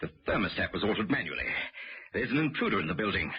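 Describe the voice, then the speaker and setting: A man playing a villainous scientist in a radio drama, measured, condescending and coolly grandiose about machines. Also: fairly low pitch, very animated. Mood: brisk, concerned. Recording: dull radio recording with faint noise.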